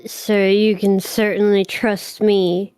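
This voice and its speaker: An earnest young adventurer who pledges himself in a halting, sincere manner, as a woman plays him. The voice is smooth and slow-paced. It sounds dry and close to the mic. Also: medium pitch, little pitch movement, darkish tone, no echo, faint music behind, lightly acted, rough recording.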